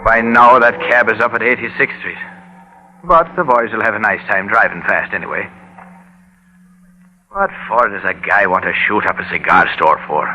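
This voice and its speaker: A man's voice: on the low side, moderate pace, pushed and bright, highs cut off. An actor playing a worried, dutiful American city policeman and young father in an old-time radio drama, with plain working-man speech. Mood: musing. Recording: noisy vintage AM radio drama recording.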